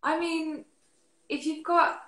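A woman's voice: high-pitched, flat delivery, slow pace, fairly bright tone. A woman in her thirties from Australia, talking casually as a beer expert guest on a video call from home, full of fillers and restarts. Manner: chatty, many fillers and restarts. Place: living room at home. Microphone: laptop webcam on video call. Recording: roomy webcam audio.

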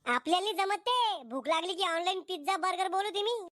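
A man plays a brazen teenage girl from a comedy cartoon, extremely high-pitched. She boasts and threatens insolently in a Vidarbha Marathi accent, with a dry, close sound. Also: fairly quick, pushed and bright, no room sound. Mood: cocky, threatening. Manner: cocky, insolent. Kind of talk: comic cartoon dialogue.

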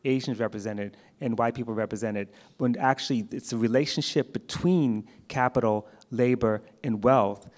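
A man's voice: medium pitch, normal speed, few pauses, a little mellow. A middle-aged man responding as a panelist, explanatory and emphatic, into a handheld microphone. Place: theatre stage. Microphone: handheld microphone held close.

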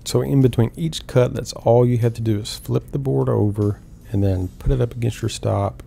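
A middle-aged man calmly explaining woodworking steps one at a time in an instructional voice-over. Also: mid-range voice, moderate pace, creaky, a little hiss or hum. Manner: calm, step-by-step explaining. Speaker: woodworking host.

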